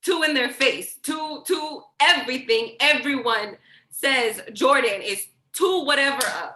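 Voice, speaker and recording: Insecure older sister, acted by a woman, naturalistic stage drama, bright forceful tone, halting and blunt, roomy echoing sound. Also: high voice. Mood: insecure, pleading. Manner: halting, repeats words, swears bluntly. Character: Jordan, insecure, blunt, protective older sibling. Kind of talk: staged play reading, naturalistic dialogue.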